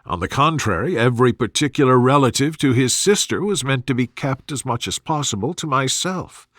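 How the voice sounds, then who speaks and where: A man voicing a sensible, discreet young woman in a storybook reading, reasoning in measured phrases. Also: mid-range voice, lively intonation, a bit bright, no echo, clean studio sound. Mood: resolute, wry.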